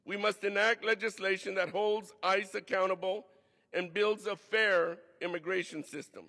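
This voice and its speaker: A man finishes a floor speech with earnest resolve. His voice is high and bright. The large chamber gives it a long reverb. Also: steady tone, normal speed, vocal fry. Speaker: congressman closing a floor speech.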